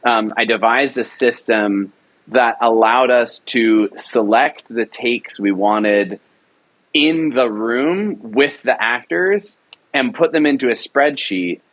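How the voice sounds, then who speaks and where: A man's voice: mid-range voice, takes it slowly, fairly bright tone, low-quality recording. Enthusiastic young man explaining production. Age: young adult. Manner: enthusiastic, rambling with fillers. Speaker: writer-director of an audio drama. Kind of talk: casual interview talk.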